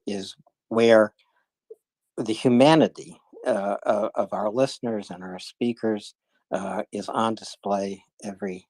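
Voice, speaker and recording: A male host of a live call-in audio room speaks in a halting, casual way, full of fillers and self-corrections. His voice sits at an ordinary pitch and is heard dry and close, with no room around it. He keeps things rolling between speakers. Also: no echo, poor audio. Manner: halting, many fillers and restarts.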